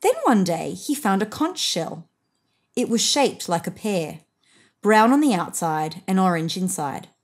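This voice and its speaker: A woman narrating a children's picture book expressively, with deliberate emphasis on key phrases. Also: medium pitch, sing-song.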